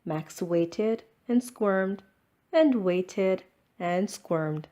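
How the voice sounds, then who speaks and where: A woman reading a children's storybook aloud as scripted narration, expressive and drawing out words. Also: mid-range voice, very slow. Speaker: storybook reader.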